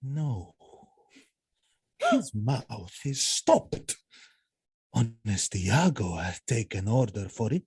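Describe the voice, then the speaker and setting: An actor playing a jealous, murderous husband in a Shakespearean audio drama, solemn, declamatory and anguished. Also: male voice, mid-range voice, sing-song, slow pace, pauses often, darkish tone, slightly rough, no room sound, exaggerated voice. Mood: accusing, anguished. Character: jealous, murderous husband, grave and tormented military lord.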